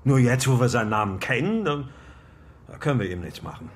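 An actor playing a gruff, stubborn older uncle with a curt, self-righteous manner in a German radio drama. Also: male voice, mid-range voice, very animated, a little fast, close to an everyday voice. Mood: agitated, defensive.

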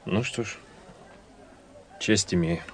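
An actor playing a reserved, polite gentleman traveller who narrates and speaks in a literary radio play, measured and reflective. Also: lowish voice. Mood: restrained courtesy. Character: reserved, courteous gentleman traveller telling his story. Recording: noisy old radio drama recording.

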